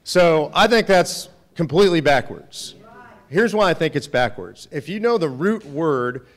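A man with a loud voice, president of an organization, speaking to volunteers and lawmakers in a meeting room. He talks conversationally and emphatically, often tagging points with "right?". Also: fairly high pitch, lively intonation, unhurried. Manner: conversational, emphatic, rhetorical "right?" tag questions. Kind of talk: speech with audience questions. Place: meeting room with a live audience.

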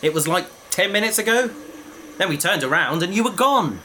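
An actor playing a bookish, curious young adventurer who talks eagerly, like a lecturer. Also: male voice, high voice, expressive, brisk, sharp tone, slight background noise, heavily acted. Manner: enthusiastic, lecturing. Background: music.

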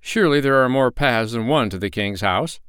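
A man's voice: medium pitch, lively intonation, moderate pace, fairly bright tone, clear, resonant tone, no room sound, clean studio sound, pitched above the performer's own voice, close to an everyday voice. A man voicing a nervous male traveler in a bedtime story.

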